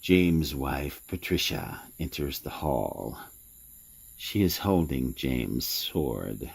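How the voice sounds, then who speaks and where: A male narrator reading out a radio drama in a measured, storytelling style. His voice is extremely deep and gravelly, and he takes his time. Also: very animated.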